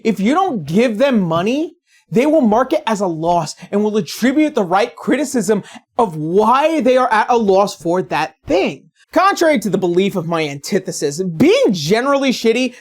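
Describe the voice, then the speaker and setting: A woman's voice: mid-range voice, very animated, moderate pace, darkish tone. A woman delivering scripted, opinionated commentary as a video essayist, in a sarcastic, blunt and profane manner.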